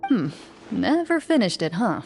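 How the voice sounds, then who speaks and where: An actress playing a composed, stern yet encouraging hunter in a video game, speaking in a measured, assured way. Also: female voice, mid-range voice, big pitch swings, creaky, a little mellow, slight background noise. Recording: background music behind the voice.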